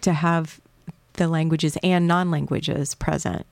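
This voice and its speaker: A woman's voice: low voice, fairly even intonation, a little slow, vocal fry, a little mellow, no room sound. A woman interviewing a guest, enthusiastic and affirming, often agreeing eagerly. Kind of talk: conversational interview.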